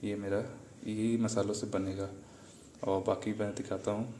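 A man's voice: on the low side, level tone, measured pace, mellow. A young man, chatting casually to viewers while cooking in a small home kitchen. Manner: chatty, addresses viewers as brother. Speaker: cooking vlogger. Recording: echoey room with faint ambient noise.

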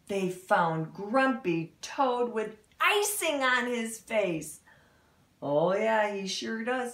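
A woman in her fifties tells a children's story with wide swings in pitch and very drawn-out pacing. She is expressive, pausing for drama and adding asides. Her voice has some gravel, and the room echoes around it. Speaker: children's picture-book reader. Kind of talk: animated read-aloud storytelling for young children. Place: small indoor room at home. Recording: roomy home recording, slightly distant mic.

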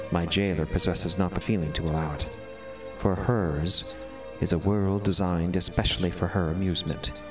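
An actor playing an eloquent, melodramatic cat who sees himself as a prisoner, speaking in formal, florid, theatrical monologue. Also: male voice, sits low, recorded from a distance, natural voice. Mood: grave, bitter. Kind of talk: dramatic first-person audio drama monologue. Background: music.

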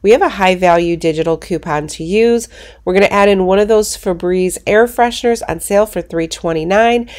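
A congested woman hosting a coupon channel, narrating a screen walkthrough of shopping deals in a brisk, practical way. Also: medium pitch, darkish tone. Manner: explains steps in a brisk, practical way.

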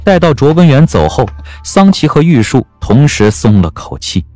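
A man's voice: mid-range voice, sing-song, moderate pace, darkish tone. A man narrating a scripted audio drama in a dramatic storytelling style. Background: background music.

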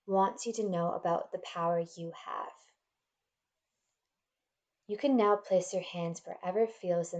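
A woman leading a guided meditation in a gentle, soothing, measured manner, leaving pauses between instructions. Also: fairly low pitch.

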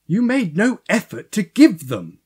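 Stern, husky archangel, played by a woman. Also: medium pitch, wildly swooping pitch, a little slow, a little mellow, heavily acted. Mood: reproving. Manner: accusing, emphatic, reproving. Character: stern, righteous archangel scolding like a judge. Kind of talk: comic audio drama acting.

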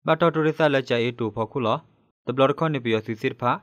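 A man's voice: medium pitch, fast talker, no room sound, lo-fi sound. Dry-sounding man reading radio news. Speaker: news presenter.

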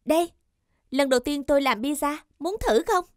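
Woman as an excitable, high-pitched cartoon teen girl. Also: normal speed, a little hiss or hum, close to an everyday voice. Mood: eager. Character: eager, excitable, feisty teenage girl. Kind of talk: Vietnamese cartoon dub acting.

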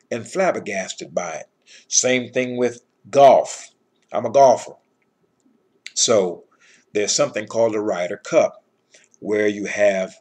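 A middle-aged man with a husky voice giving an informal scripture lesson in an emphatic, admonishing manner. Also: on the low side. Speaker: Bible teacher.

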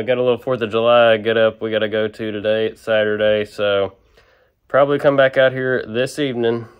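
A man's voice: fairly low pitch, smooth, dull audio. Regular guy chatting in his garage while wrenching on a truck, easygoing, kinda rambly. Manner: relaxed, rambling, talks while working. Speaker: shop owner working on a truck. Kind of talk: casual shop vlog narration.

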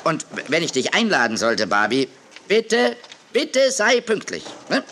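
Excitable, wildly swooping TV boss. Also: male voice, a little high, measured pace, a little hiss or hum, heavily acted. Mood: jovial, hurried. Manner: effusive, repeats words, tags questions on. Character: excitable, brisk male TV boss, breezily dismissive. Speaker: actor in a children's audio drama. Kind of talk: 1980s German children's radio play acting.

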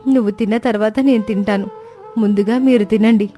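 A woman's voice: medium pitch, fairly even intonation, a little fast, dark tone, lightly acted. A woman voicing an outspoken, aggrieved sparrow wife in a cartoon moral story, speaking in a plaintive, reproachful way. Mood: softened, affectionate. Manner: plaintive, reproachful, long emotional speeches. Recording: music bed behind voice.

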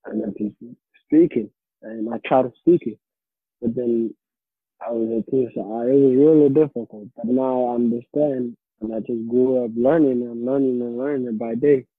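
A teenage student from Somalia, answering interview questions casually and hesitantly, repeating and restarting phrases. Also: male voice, mid-range voice, animated, moderate pace, creaky, husky, no room sound. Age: teens. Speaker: interviewee, high school student. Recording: tinny phone line, faint radio noise.

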